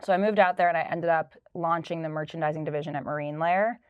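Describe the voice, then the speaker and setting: A woman's voice: sits low, steady tone, fast, smooth, no room sound, lo-fi sound. A woman in her thirties, a sustainability director, telling her career story fluently in a casual interview. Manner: fluent, storytelling, light laughs. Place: office.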